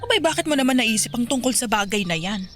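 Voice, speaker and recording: A woman's voice: fairly high pitch, animated, fast, fairly bright tone, soft background music. A woman playing a mature mother and wary girlfriend in a romance drama, conversational, with a Filipino accent. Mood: surprised, wary. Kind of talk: dialogue in a radio-style romance drama.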